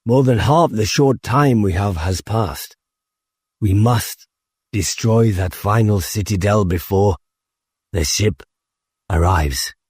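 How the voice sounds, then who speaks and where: A man playing a grave, commanding rebel leader giving a deliberate, formal, resolute speech in an audiobook. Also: on the low side, darkish tone, husky, dry recording, studio quality. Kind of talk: audiobook character oratory.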